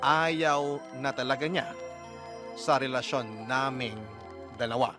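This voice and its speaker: A man's voice: medium pitch, slow. A Filipino man giving a sorrowful, dramatic first-person scripted narration as a radio storyteller. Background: music.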